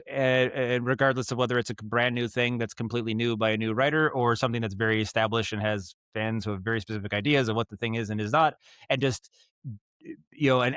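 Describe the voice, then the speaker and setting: A man's voice: medium pitch, a bit bright, clean tone, dry recording. American man telling stories, dry close sound. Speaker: podcast guest, audio drama writer and producer. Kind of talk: casual interview storytelling.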